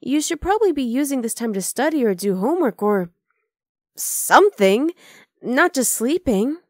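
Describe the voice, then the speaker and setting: A woman's voice: high voice, big pitch swings, normal speed, no room sound. Close, dry, teasing half-dragon girlfriend voiced by a woman. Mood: puzzled, chiding. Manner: casual, coaxing, affectionate teasing. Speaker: ASMR roleplay voice actor. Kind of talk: ASMR roleplay acting.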